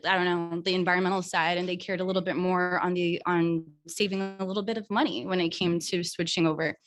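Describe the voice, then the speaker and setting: A young woman speaking earnestly on a panel, with many pauses and restarts. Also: lowish voice, a bit bright. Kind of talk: casual panel talk.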